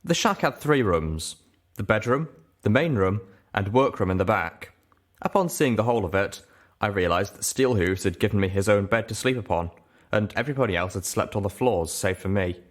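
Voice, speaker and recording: A man reading a story aloud as an expressive first-person narrator. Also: lowish voice, normal speed. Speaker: audiobook reader.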